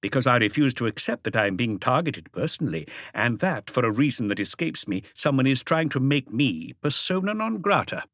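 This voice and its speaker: A male narrator plays a wealthy art collector in an audiobook mystery, pitched higher. He is haughty and guarded, answering with defensive, indignant politeness. The sound is dry and close. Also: very animated, a little fast, hardly any pauses, a bit bright, dry recording. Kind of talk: audiobook character dialogue.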